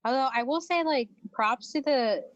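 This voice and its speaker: A woman's voice: high voice, normal speed, dry recording, highs cut off. Young woman from Venezuela, casual and chatty podcast guest, dry close recording. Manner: chatty, laughs often, many fillers. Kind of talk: casual chat.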